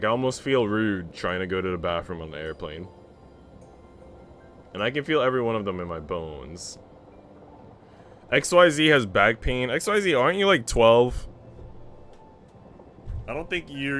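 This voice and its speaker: A man's voice: on the low side, fairly bright tone, a little hiss or hum. A man livestreaming, mixing slangy, rambling casual chat and swearing with reading a visual novel aloud. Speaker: game streamer.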